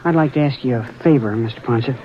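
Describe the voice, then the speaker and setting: A man's voice: mid-range voice, quick pace, slight background noise, natural voice. An actor playing a frightened young ranch boy in an old radio drama, talking in a strained, pleading way. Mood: curious. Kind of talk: 1950s radio drama acting. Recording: dull old radio transcription.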